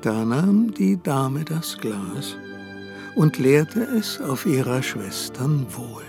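A man narrating a radio play in a measured, formal way. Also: medium pitch, very animated, gravelly, full character voice. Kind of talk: scripted narration. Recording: loud music under the voice.

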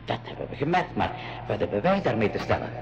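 A man's voice: fairly low pitch, very animated, fast talker, creaky, muffled recording, background noise. An actor playing a bewildered, civil middle-aged professor in a radio drama, hesitant and repeating his words. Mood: puzzled, indignant. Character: bewildered, civil professor uncle, adventurer taken captive. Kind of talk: 1980s school radio drama acting.

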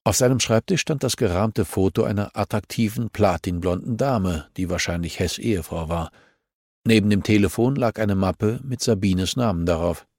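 A man with a fairly low voice narrates a crime novel. It is scripted audiobook reading, recorded close and dry. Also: normal speed, darkish tone, dry recording.

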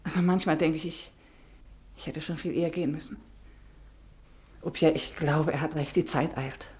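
An actress playing a young wife in a radio play, talking in an emotional, pleading way. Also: female voice, sits low, fairly even intonation, normal speed, darkish tone, a little hiss or hum, natural voice. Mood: earnest. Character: Ruth, young wife torn about returning to her husband.

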